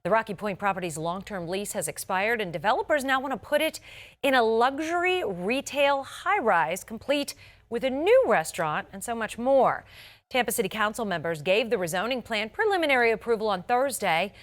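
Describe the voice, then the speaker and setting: A woman's voice: fairly high pitch, lively intonation, moderate pace. A woman with an American accent anchoring a scripted local TV newscast in a polished way, stressing key words. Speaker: television news anchor.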